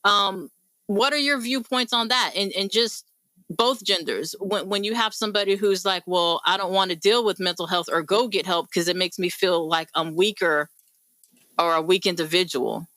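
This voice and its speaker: A woman's voice: mid-range voice, steady tone, moderate pace, sharp tone, no room sound. A woman hosting a radio panel discussion, speaking in an encouraging, conversational and emphatic way. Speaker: host; mental health professional.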